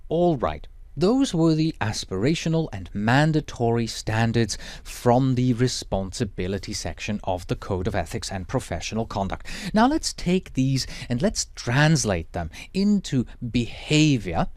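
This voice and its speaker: A man whose first language is Swiss German, teaching a lecture in a measured, explanatory way with Swiss German accent. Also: mid-range voice, sing-song, a little mellow, no room sound. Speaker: project management instructor.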